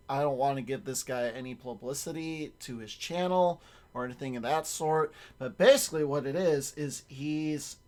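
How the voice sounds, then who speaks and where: A young man with a strained, raspy voice, chatting casually on his stream as a voice actor and rambling with lots of restarts and the odd swear. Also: medium pitch.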